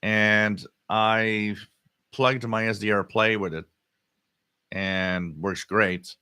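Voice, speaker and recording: Guy doing a casual live stream chat, lowish bright voice, stop and start, repeats himself, close dry mic. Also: fairly even intonation, clear, resonant tone, no room sound. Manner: halting, repeats words and phrases. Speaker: livestream host. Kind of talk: casual live radio-hobby chat, reading and answering viewer comments.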